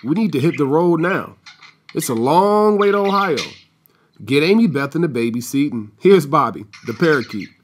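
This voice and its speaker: Dad role: male actor as a chipper, declarative 1950s family man, somewhat high-pitched, radio play, faint noise behind. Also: animated, moderate pace, natural voice. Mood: brisk, firm. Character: brisk, cheerful 1950s suburban dad.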